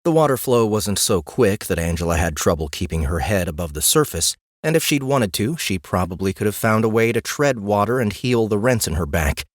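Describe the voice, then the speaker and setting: A man narrates a fantasy audiobook in a low voice. The reading is scripted storytelling, captured close and very dry on a studio mic. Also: expressive, brisk, hardly any pauses, no room sound.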